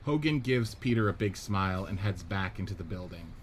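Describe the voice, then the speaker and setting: Low-voiced man reading stage directions. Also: a little fast, a little hiss or hum. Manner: steady, descriptive. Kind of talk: radio play script reading.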